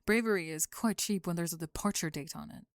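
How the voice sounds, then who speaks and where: A woman playing a guarded, bookish young student in an ASMR roleplay, sharp-tongued but shy, with a halting, dry delivery. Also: lowish voice, no room sound, voice pushed down, lightly acted. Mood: defensive. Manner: halting, dry, self-aware.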